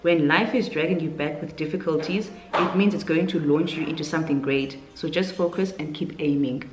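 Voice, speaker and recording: A South African woman in her late twenties speaks in a slightly low voice. She talks informally, as in a casual vlog, working out her answers aloud. The room is clearly echoey, with faint music playing underneath. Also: fairly quick. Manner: informal, conversational, thinking aloud. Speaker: YouTuber, vlogger.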